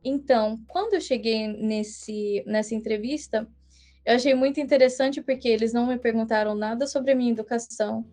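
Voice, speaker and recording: Earnest young woman, slightly high voice. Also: fairly even intonation, mellow, soft background music. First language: English. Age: young adult. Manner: earnest, measured storytelling with small restarts. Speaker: guest missionary. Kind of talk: casual podcast interview.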